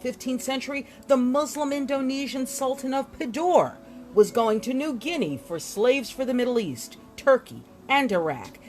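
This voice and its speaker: A woman reading a history book aloud in an expressive, storytelling way, with deliberate dramatic pauses and emphasis. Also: on the high side. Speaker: reader presenting an illustrated history book. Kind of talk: expressive read-aloud narration with asides.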